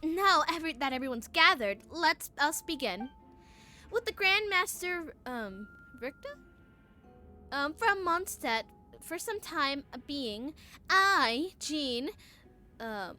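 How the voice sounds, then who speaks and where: A woman voicing a formal, earnest female knight commander from a fantasy game, reading the lines aloud a little haltingly. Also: high-pitched, sing-song, sharp tone, soft background music, heavily acted. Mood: solemn. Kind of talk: live read-along of game dialogue.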